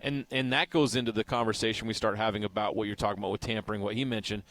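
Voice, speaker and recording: A man's voice: on the low side, steady tone, very fast, fairly bright tone. An American man co-hosting a casual sports radio show, giving his opinions bluntly.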